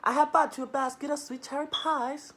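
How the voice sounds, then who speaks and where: A woman voicing an innocent little girl in a storybook reading, high and girlish. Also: steady tone, fairly quick, natural voice. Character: sweet, innocent little girl in a red cloak.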